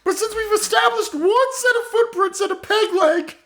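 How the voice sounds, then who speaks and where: Man doing a high-pitched, flustered old granny. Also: moderate pace, fairly bright tone. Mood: rambling, flustered. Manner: rambling, self-justifying, melodramatic. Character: forgetful elderly grandmother murder suspect, flustered and defensive. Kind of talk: improvised comic radio murder mystery.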